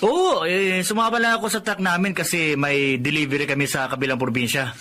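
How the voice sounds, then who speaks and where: Man playing a hurried grown son, bright tense voice, slightly high. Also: fairly even intonation, slight background noise. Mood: brusque. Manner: brusque, hurried speech. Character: hurried adult son, brusque and distant. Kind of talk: radio drama acting.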